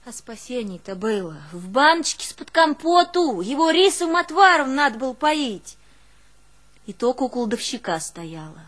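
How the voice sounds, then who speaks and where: A woman's voice: high-pitched, very animated, normal speed, a bit bright, full character voice. An actress playing a long-suffering, devoted village woman, telling of hardship in a plaintive, folksy theatrical monologue. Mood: desperate, determined. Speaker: actress in a one-woman show. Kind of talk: theatrical monologue with drawn-out folk singing.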